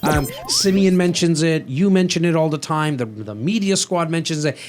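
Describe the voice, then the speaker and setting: A man with a Canadian accent hosting a podcast, his voice a bit raspy, talking casually and upbeat with lots of fillers. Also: a little high, moderate pace. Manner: chatty, filler-heavy, upbeat. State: slightly raspy voice. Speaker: podcast host.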